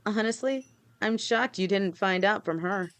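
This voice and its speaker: Woman playing a gentle snake-woman girlfriend. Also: mid-range voice, normal speed, close to an everyday voice. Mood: fond teasing. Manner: soft, reassuring, speaks directly to a partner. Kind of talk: audio roleplay.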